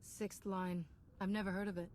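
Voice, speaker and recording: A woman's voice: medium pitch, flat delivery, normal speed, darkish tone, slight background noise, natural voice. A woman playing an earnest, resolute Jedi hero in a video game.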